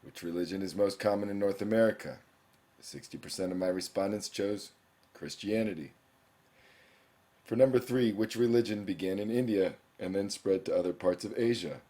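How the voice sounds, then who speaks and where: Male teacher, young adult, very low voice; plain explanatory screencast narration. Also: normal speed, warm tone. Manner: plain explanatory, reading quiz questions aloud.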